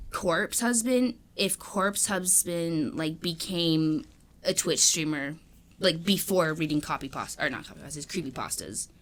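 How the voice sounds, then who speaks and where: A woman's voice: fairly low pitch, a bit bright. A young woman co-hosting a podcast, rambling in casual chat with lots of fillers and swearing. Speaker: podcast co-host.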